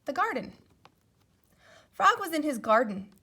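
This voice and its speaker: A woman narrates a children's storybook. Her voice is high and very expressive in pitch, and she takes her time over each sentence. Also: measured pace. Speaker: storybook reader.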